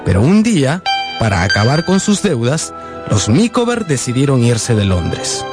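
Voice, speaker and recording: A man's voice: medium pitch, very animated, measured pace. An actor playing an earnest, sentimental grown man narrating his boyhood in a radio drama. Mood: reflective. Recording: music behind the voice.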